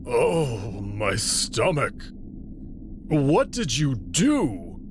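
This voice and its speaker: A man voicing a gruff, sulky male wolf held captive, who groans and complains in pain. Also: medium pitch, huge pitch swings, very slow, noisy background, full character voice.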